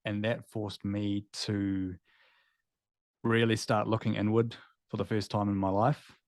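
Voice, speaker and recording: Male guest, 30s, New Zealand accent, low voice, candid storyteller with fillers, dry recording. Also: level tone, measured pace, dry recording. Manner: candid, reflective, with filler words. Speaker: guest, former veterinarian. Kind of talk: podcast interview storytelling.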